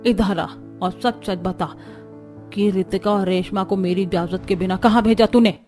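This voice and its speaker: A woman's voice: medium pitch, fairly even intonation, normal speed, a little mellow, faint music behind, close to an everyday voice. A woman voicing a controlling old grandmother-in-law who scolds and commands in a cartoon story. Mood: stern, scornful. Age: older. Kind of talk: Hindi cartoon story voice acting.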